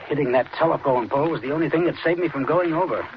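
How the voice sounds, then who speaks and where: A man's voice: fairly high pitch, quick pace, lightly acted. An actor playing a tense, guarded husband secretly plotting against his wife, in 1940s radio style with feigned casualness. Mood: feigned worry. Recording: muffled, noisy old radio recording.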